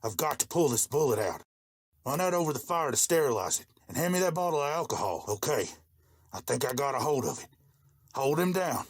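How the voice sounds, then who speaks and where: A man's voice: medium pitch, big pitch swings, a little fast, breathy edge. A man playing an older, devout, plain-spoken rural farmer in a motion comic. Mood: urgent. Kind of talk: dramatized motion comic dialogue.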